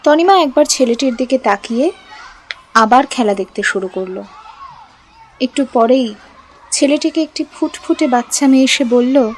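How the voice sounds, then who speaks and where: A woman's voice: high voice, animated, moderate pace, long pauses, slight background noise, rough recording. A woman reading a scripted audio story as its narrator. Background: background music.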